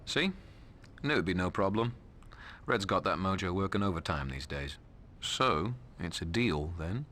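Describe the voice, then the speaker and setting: A swaggering British vampire from a video game, all sneer and slang. His voice sits very deep yet cuts with a bright, forceful edge, and a faint hiss of noise lies beneath it. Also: lively intonation, unhurried, full character voice. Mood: sardonic. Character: sardonic cocky male vampire rebel.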